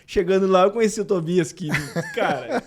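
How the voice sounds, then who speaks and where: A man's voice: sits high, expressive, slight background noise. A man telling a travel story in a casual chat, laughing and acting out what people said. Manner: fast, laughing, acts out quoted dialogue.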